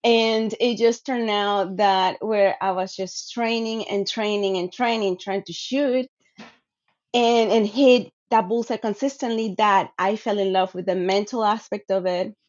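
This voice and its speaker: A woman's voice: mid-range voice, fairly even intonation, measured pace, darkish tone. Woman on a muffled call. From Venezuela. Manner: earnest, reflective, with many fillers. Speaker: guest speaker, sport shooter. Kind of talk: casual personal storytelling. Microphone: video call.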